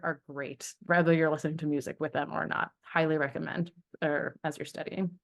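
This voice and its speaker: A woman talks through study advice in a relaxed, chatty webinar, her voice sitting a bit low. She is encouraging and often restarts a phrase to fix her wording, heard over a computer mic on a video call. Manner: chatty, self-correcting, encouraging. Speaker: webinar presenter on study skills. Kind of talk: casual presentation with slides.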